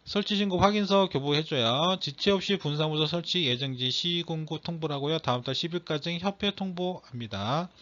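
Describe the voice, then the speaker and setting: A man's voice: a little high, fairly quick, few pauses, fairly bright tone. Slightly strained male lecturer, muffled recording. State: slightly strained voice from throat trouble. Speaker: exam lecturer teaching real estate broker law. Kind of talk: lecture reading out quiz questions and explaining answers. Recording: dull, muffled lo-fi audio.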